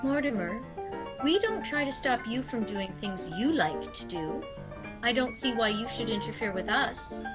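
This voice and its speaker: A woman's voice: on the high side, lively intonation, measured pace, music in the background. A woman playing a sweet but easily indignant elderly aunt in a radio comedy. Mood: puzzled. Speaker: radio play actor. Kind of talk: theatrical radio comedy acting.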